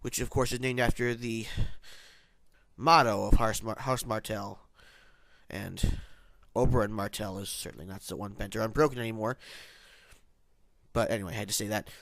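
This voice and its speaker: A man's voice: fairly low pitch, fairly even intonation, moderate pace, creaky, fairly bright tone, no echo. A man with a nasal voice, congested from hay fever, giving a casual, rambling spoken review of a TV episode. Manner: rambling, many restarts and self-corrections.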